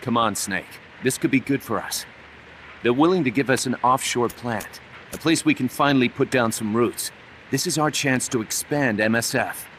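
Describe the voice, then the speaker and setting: An earnest young mercenary businessman, voiced by a man, who pushes his ideas with energy. His voice sits at a middling pitch. Faint cutscene noise hangs behind him. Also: normal speed, fairly bright tone, close to an everyday voice, low-quality recording. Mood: persuasive. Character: eager young male mercenary business partner.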